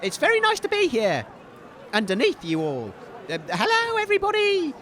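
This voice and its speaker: A man's voice: very high voice, wildly swooping pitch, normal speed, a bit bright, exaggerated voice. A man playing a hapless, eager radio presenter in a comedy, polite, hesitant and easily flustered. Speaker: radio host. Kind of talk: comedy roving-reporter interviews. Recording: outdoor crowd noise.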